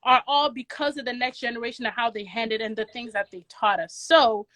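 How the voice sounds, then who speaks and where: Woman from Ghana, 30s, guest talk, earnest and repetitive emphasis, fairly high voice, dry close sound. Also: fairly even intonation, a little fast, fairly bright tone, no echo. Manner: earnest, repeats key words for emphasis. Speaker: guest, mortgage agent and credit coach. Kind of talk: casual interview talk.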